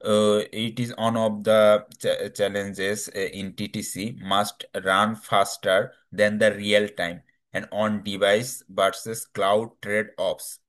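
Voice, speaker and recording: A man giving a technical slide presentation in a halting, hesitant way, with non-native English phrasing. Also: lowish voice, little pitch movement, fairly bright tone.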